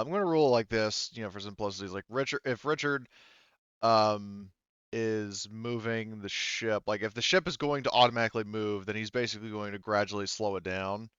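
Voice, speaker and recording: A man's voice: on the low side, a bit bright, clean tone, no room sound, highs cut off. A man running a tabletop game as game master, explaining the rules in a hesitant, backtracking way.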